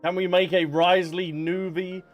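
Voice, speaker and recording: High-voiced cheeky male game streamer. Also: flat delivery, unhurried, lo-fi sound, faint music behind. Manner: cheeky, reactive. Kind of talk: casual livestream commentary with playful banter.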